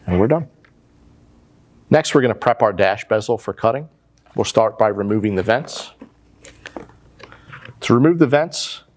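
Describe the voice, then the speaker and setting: Plain-spoken American man giving instructions. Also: lowish voice. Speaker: product installation instructor. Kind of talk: step-by-step tutorial narration.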